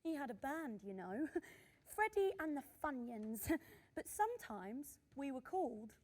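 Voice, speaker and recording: A woman's voice: sits high, very animated, a little slow, darkish tone. An actress playing a modest, cheeky old woman who was once a singer, chuckling and plain-spoken in a radio drama. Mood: amused reminiscing. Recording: long hall-like reverb.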